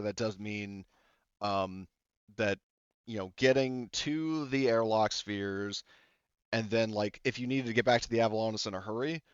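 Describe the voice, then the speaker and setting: Brief: male game master, low smooth voice, rambling, lots of "like" and "um", dull lo-fi dry sound. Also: a bit bright, no room sound. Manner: rambling, many fillers and restarts. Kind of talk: casual tabletop game narration. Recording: dull lo-fi audio.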